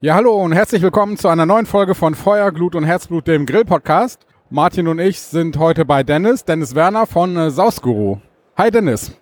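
A man's voice: a little high, normal speed, dry recording. A man hosting a podcast, asking his guest questions in a friendly, inquisitive way. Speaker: podcast host. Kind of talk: casual interview.

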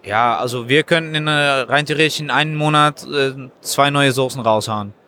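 A man who makes sauces, answering interview questions at a trade fair stand in a halting, explanatory way. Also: mid-range voice, pushed and bright, a little hiss or hum. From Germany. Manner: halting, explanatory, frequent hesitations. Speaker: sauce maker, guest. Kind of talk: casual interview.

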